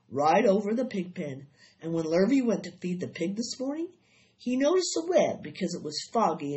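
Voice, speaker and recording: A woman voicing an earnest, shaken farmer husband from a storybook, grave, halting and folksy. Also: lowish voice, a little mellow, dull audio.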